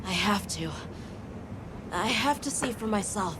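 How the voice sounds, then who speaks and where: A woman playing an earnest, anxious but determined young boy in a video game, sincere and halting. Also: medium pitch, lively intonation, background noise, close to an everyday voice. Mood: hesitant resolve. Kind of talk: cinematic video game dialogue. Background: music.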